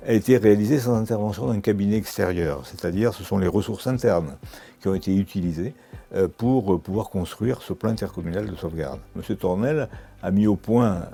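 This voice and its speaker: A man with a gravelly, husky voice giving formal, measured interview testimony. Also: lowish voice, mellow.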